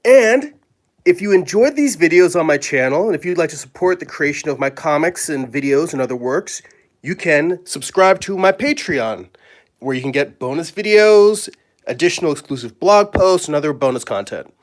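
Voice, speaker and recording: Hoarse man with a cold. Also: on the high side, expressive. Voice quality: raspy, with throat clearing. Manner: rambling, friendly, halting with fillers. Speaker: comic artist and live-stream host. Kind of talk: casual live-stream chat. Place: home studio at a drawing table.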